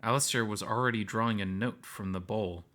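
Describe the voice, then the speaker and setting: A man's voice: on the low side, a little fast, pushed and bright. A man reading first-person story narration aloud from a visual novel.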